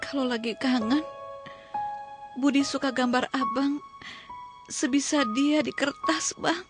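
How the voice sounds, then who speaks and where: An actress playing a tearful, devoted young wife in a radio drama, speaking softly and gently. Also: female voice, high voice, over music. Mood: gentle. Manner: soft, gentle, slow.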